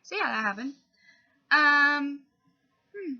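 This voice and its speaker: Sniffly young woman with a creaky voice. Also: sits high, animated, slow pace, bright, forceful tone. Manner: rambling, lots of fillers and asides. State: sniffly from a cold. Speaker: vlogger. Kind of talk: casual update chat to camera. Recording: dull lo-fi audio.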